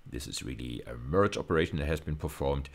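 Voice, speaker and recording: A man's voice: rumbling low, fast talker. A man giving a technical university video lecture, explaining step by step and often repeating and rephrasing his phrases.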